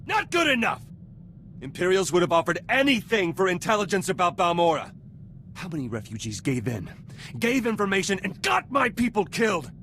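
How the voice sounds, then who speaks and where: A man's voice: high voice, very animated, brisk, sharp tone, slight background noise, exaggerated voice. An actor playing a vengeful, fiercely loyal male resistance fighter in a video game, with a clipped, intense, dramatic delivery. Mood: outraged. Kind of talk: scripted video game voice acting.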